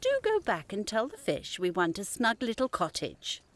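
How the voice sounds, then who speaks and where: A woman's voice: medium pitch, wildly swooping pitch, moderate pace, a little hiss or hum. An actress playing a discontented, grasping fisherman's wife in a fairy tale audio drama, with an imperious manner. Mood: complaining. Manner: imperious, complaining.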